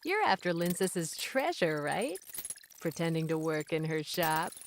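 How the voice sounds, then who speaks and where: A woman's voice: low voice, very animated, a little slow, slight background noise, full character voice. An actress playing a cunning, confident female pirate second mate in an anime game, cool and persuasive. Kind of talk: English anime game voice acting.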